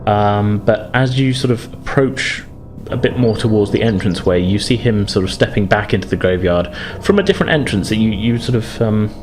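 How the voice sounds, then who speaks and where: A man's voice: lowish voice, expressive, brisk, hardly any pauses, darkish tone, faint music behind. A man narrates as game master of a tabletop game, in a rambling, self-correcting manner.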